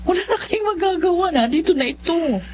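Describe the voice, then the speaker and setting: A woman's voice: very high-pitched, lively intonation, fairly quick, darkish tone. A woman playing a stubborn middle-aged Filipina mother in love, in a radio drama. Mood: stubborn, firm. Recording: muffled, noisy radio drama with hum.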